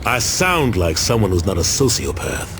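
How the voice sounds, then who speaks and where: An actor playing an aging former gang leader in a video game, streetwise, weary and argumentative. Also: male voice, low-pitched, huge pitch swings, moderate pace, fairly bright tone, slight background noise, full character voice. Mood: bitter, exasperated. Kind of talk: video game cutscene acting.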